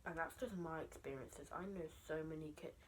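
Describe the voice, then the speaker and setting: A teenage girl venting to camera late at night, speaking softly and often in a whisper, raw and emotional, her tone shifting as she goes. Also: low-pitched, fairly quick, a little hiss or hum. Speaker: vlogger. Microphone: camera mic.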